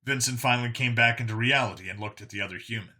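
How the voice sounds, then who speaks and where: A man's voice: mid-range voice, very fast, sharp tone. A man reading scripted audiobook narration as the narrator of a story.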